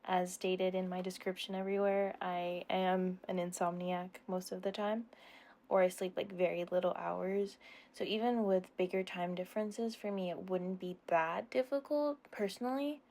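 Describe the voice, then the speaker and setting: A young adult woman answering questions in a casual, informal chat, hedging often and gently poking fun at herself. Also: on the low side, steady tone, normal speed. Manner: informal, hedging, self-deprecating. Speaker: ASMR roleplay creator answering subscriber questions.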